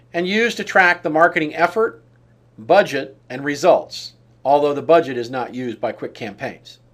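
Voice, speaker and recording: A man giving scripted instructional narration as a software trainer, in a measured, explanatory way that sets out lists item by item. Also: on the high side.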